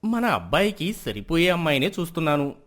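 A man's voice: fairly high pitch, sing-song, fairly quick, a bit bright. A man playing a proud, status-minded middle-aged merchant husband in a moral story, self-justifying and then conceding. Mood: defensive, proud.

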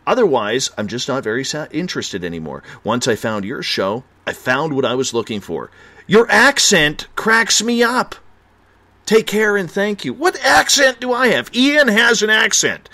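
A man's voice: a little high, sing-song, moderate pace, bright, forceful tone, no room sound. A man with a Canadian accent hosting a late-night radio show, laid-back and joking.

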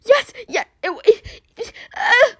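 Menacing villain father for a toy-app drama, cowardly underneath, very animated, dry sound female performer. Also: very high-pitched, takes it slowly, dry recording, voice pushed up, full character voice. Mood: panicked pleading. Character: menacing male kidnapper father, cowardly when caught. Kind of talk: amateur toy-app roleplay voice acting.

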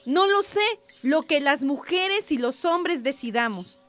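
An actress playing a resolute, dignified village wife, firm and assertive, in a radio drama. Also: female voice, sits high, lively intonation, a little slow, a bit bright, faint music behind.

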